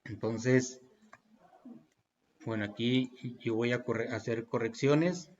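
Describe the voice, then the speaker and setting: A man's voice: mid-range voice, steady tone, takes it slowly, muffled recording. A man teaching programming in a tutorial, walking through each step patiently with fillers and self-corrections. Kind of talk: screen-recorded tutorial walkthrough.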